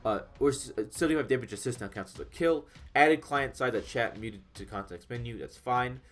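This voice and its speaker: A man's voice: medium pitch, fairly quick, fairly bright tone. Nasal, congested young man rambling. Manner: rambling, profane, frequent fillers and restarts. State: congested, under the weather. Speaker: gaming YouTuber. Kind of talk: casual commentary reading patch notes. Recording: faint background music.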